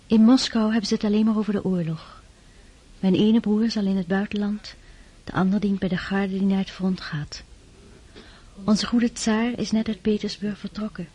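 Young noblewoman played by a woman, husky-voiced, gentle, pious and reflective, earnest period radio drama delivery. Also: medium pitch, steady tone, normal speed, natural voice. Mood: tender, wistful. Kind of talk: Dutch radio drama acting.

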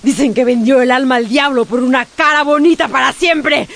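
An actress playing a bitter, scornful, ruined woman of the opium den in a radio drama, with a husky, creaky voice. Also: high-pitched, fairly even intonation, normal speed. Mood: insistent, bitter.

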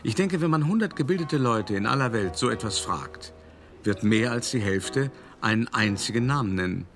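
A man's voice: mid-range voice, lively intonation, moderate pace, fairly bright tone. An actor playing a worldly, cultured older art dealer who narrates his memories in a radio play, conversational and wry, addressing the listener directly. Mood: admiring, musing. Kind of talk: first-person radio play monologue. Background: music.

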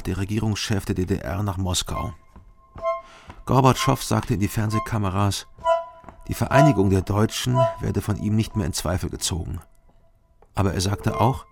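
A low-voiced, gravelly man in a radio crime drama, terse and sober as he tells his own story. He keeps things guarded and understated, with prominent music behind him. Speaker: narrator and lead character. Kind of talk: radio crime drama, first-person narration.

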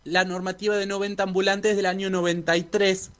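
A man's voice: sits high, flat delivery, a little fast, fairly bright tone. Earnest Argentine man making an activist statement. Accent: Argentine Rioplatense. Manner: earnest, formal wording. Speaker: street vendors' spokesperson.

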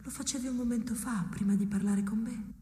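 An actress playing a detached, quietly reproachful wife in an experimental radio drama, speaking in a soft, measured, hushed voice that often nears a whisper. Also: female voice, fairly high pitch, fairly even intonation, a little hiss or hum, lightly acted. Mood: resigned. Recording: echoey with long reverb.

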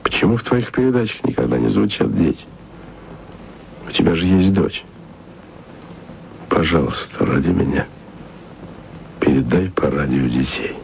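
An actor playing a wistful radio archivist who speaks softly and intimately to his beloved in a radio play. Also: moderate pace, pauses often, slight background noise, rough recording. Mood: tender. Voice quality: low, gravelly voice. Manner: soft, intimate, sometimes whispered. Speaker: radio man speaking to his distant lover.

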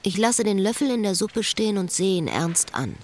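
An actress playing a wistful, lovesick woman, brooding and quietly bitter, in an intimate literary radio drama. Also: mid-range voice, animated, normal speed, close to an everyday voice. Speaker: lead actress and first-person narrator.

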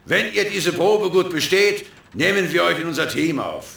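An actor playing a scheming hacker boss, smug, theatrical and commanding, in a children's radio drama. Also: male voice, high-pitched, sing-song, moderate pace, creaky, a bit bright, exaggerated voice. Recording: echoey, with faint noise.

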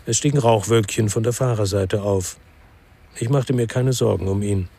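A man's voice: fairly low pitch, normal speed, creaky, dark tone, breathy edge. An actor playing a world-weary male ex-policeman who narrates his own crime story in a sober, laconic way for radio drama. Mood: brooding.

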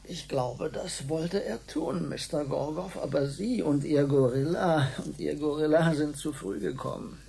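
A private detective in a radio drama. His voice is mellow and gravelly, and he talks in a dry, hesitant, politely stubborn way. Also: fairly high pitch, a little slow, few pauses, exaggerated voice. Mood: wry, defiant. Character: wry, unhurried male private detective, politely defiant. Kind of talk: German radio drama acting.

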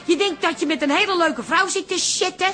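Bossy, high-pitched suspicious daughter. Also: female voice, brisk, faint music behind. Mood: indignant, scornful. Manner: scolding, exclamatory, sharp-tongued. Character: bossy, suspicious adult daughter doting on her cat. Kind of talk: Dutch radio comedy drama acting.

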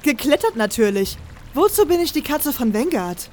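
A woman playing a high-voiced, brusque young woman. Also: sing-song, moderate pace, a little mellow, slight background noise. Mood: brusque, teasing. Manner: brusque, scolding, commanding. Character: agile, bossy, impatient young woman ally of the hero. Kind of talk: fan audio drama acting.

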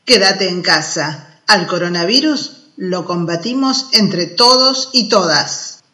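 Bright-voiced Argentine children's radio host. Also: medium pitch, animated, slow. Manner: explanatory, addressing young listeners directly. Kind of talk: chatty radio presenting addressed to children.

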